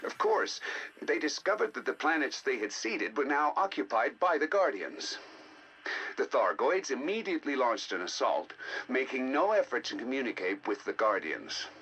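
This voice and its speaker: A learned male researcher narrating a video game audio log. His mid-pitched voice rises and falls expressively as he muses over ancient data with deliberate, theatrical fascination. A faint layer of noise sits behind him. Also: very animated, sharp tone, low-quality recording. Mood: intrigued, explanatory. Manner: deliberate, theatrical, musing academic delivery. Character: scholarly male scientist, intrigued by ancient alien data. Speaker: researcher narrating decoded alien history logs.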